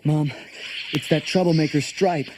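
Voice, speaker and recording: A man playing an earnest, kind young man with a boyish, expressive delivery in a children's read-along audio drama. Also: a little high, normal speed, natural voice. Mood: determined. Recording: cassette transfer with music behind. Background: music.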